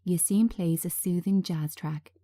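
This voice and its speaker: A woman narrating a screenplay in a measured, scripted reading style. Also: on the low side, steady tone, warm tone. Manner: measured, present-tense scene reading.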